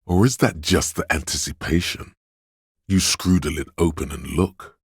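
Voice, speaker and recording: A seductive inner demon of addiction from a video game, extremely deep and husky. He narrates theatrically, coaxing and savoring each phrase with indulgent relish, close and dry. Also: normal speed, no echo, heavily acted. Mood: savoring, hypnotic. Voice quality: very deep, resonant.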